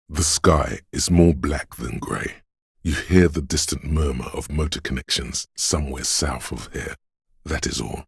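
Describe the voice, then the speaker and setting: Very deep, gravelly, brooding mystical voice. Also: male voice, dry recording, clean studio sound, full character voice. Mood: somber, watchful. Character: brooding, mystical inner voice sensing the city and wind. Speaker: video game voice actor. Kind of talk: scripted poetic second-person game narration.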